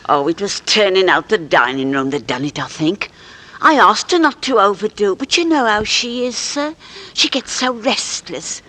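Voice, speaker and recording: A woman's voice: mid-range voice, lively intonation, normal speed, bright, forceful tone. An actress playing a chatty, motherly older housekeeper in a 1940s radio drama. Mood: homely. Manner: homely, chatty, slightly defensive. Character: older female cook-housekeeper, fussy and motherly. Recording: old radio broadcast.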